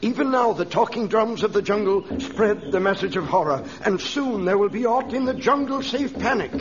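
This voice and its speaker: An earnest, slender missionary with a high voice and solemn, archaic phrasing. The old radio drama sound is dull and clearly noisy. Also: male voice, animated, moderate pace. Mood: urgent, foreboding. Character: devout, earnest missionary man, slender and urgent. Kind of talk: old radio adventure serial acting.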